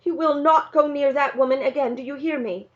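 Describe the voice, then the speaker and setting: Stern high-voiced mother, read by a woman. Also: brisk, natural voice. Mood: stern, scolding. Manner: firm, emphatic commands. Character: stern, worried rural mother scolding her child. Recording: slightly dull, lo-fi sound.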